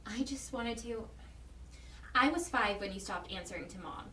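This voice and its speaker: A woman's voice: mid-range voice, normal speed, sharp tone, lightly acted. Woman as a defiant young daughter, echoey and distant. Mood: bitter, restrained. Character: defiant, wounded grown daughter, a young surgeon. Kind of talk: audio drama acting. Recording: distant, echoey room with background noise.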